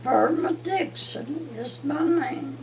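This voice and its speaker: A woman over a hundred years old, slowly reminiscing in a recorded interview. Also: high-pitched, darkish tone, far from the mic, soft background music. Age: 100s.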